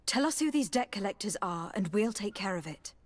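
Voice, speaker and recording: A woman's voice: mid-range voice, expressive, fairly quick, no room sound, natural voice. A woman playing a composed, articulate, authoritative noble diplomat in a video game. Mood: firm.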